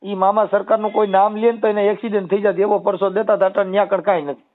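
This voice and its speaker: Guy w kathiawadi gujarati accent, pretty high voice, insistent debating on a muffled phone call. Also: flat delivery, quick pace. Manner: insistent, explanatory, addresses listener as sister. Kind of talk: argumentative phone conversation. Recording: muffled low-fidelity phone call recording.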